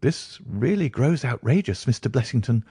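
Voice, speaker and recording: An earnest Victorian doctor, voiced by a male reader, polite and troubled, who speaks in precise, formal phrases. The pitch is lifted a little above a low male register, and the sound is dry and close. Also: big pitch swings, moderate pace, mellow, breathy edge, no echo. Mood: indignant. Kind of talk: audiobook character acting.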